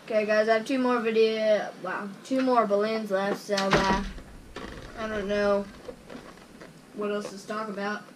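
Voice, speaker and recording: A man's voice: sits high, level tone, measured pace, far from the mic. A teenage boy with an American accent chatting casually to viewers in a rambling, slangy way. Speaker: vlogger. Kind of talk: casual vlog chat to viewers. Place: small bedroom with bunk bed, indoors. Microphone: camera microphone a short distance away. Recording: noisy camera microphone in a room.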